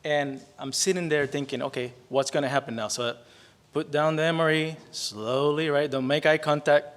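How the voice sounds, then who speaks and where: A man's voice: fairly high pitch, steady tone, moderate pace. A man in his forties from Puerto Rico with a Puerto Rican Spanish accent, a native Spanish speaker giving chatty opening remarks and often tagging his sentences with "right?". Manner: chatty, frequent "right?" tags, self-deprecating. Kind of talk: casual storytelling speech.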